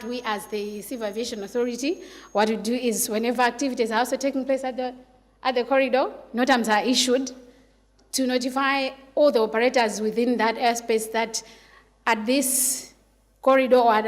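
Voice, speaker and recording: A woman from Malawi, an air traffic controller giving a conference talk, explaining steadily with fillers and restarts. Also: fairly high pitch. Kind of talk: conference presentation. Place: large conference hall. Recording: long hall reverb.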